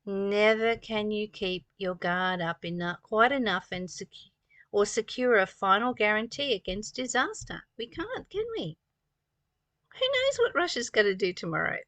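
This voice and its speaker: An older woman in her sixties speaks in a close, dry recording at a middle pitch. She teaches like a spiritual guide, moving between read passages and her own commentary. Her delivery is earnest and preaching, built on repeated phrases and rhetorical questions. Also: expressive, normal speed, fairly bright tone, no room sound. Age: 60s. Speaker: spiritual teacher reading and commenting on a study text.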